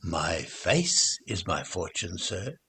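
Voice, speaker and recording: Soft, husky pert milkmaid as a man reads her. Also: low voice, very animated, slow pace, exaggerated voice. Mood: demure, pert. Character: pert young country milkmaid, politely self-assured.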